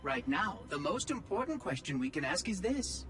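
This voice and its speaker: High-pitched, fussy game AI. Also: male voice, sing-song, normal speed, fairly bright tone, soft background music. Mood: brisk, impatient. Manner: precise, prim, patronising phrasing. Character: fussy, condescending male artificial intelligence machine, sly and verbose. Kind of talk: video game cutscene acting.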